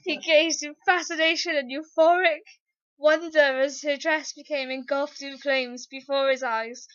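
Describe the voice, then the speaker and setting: A woman's voice: high voice, a bit bright, highs cut off. A woman narrating fan fiction as a comic, theatrical dramatic reading.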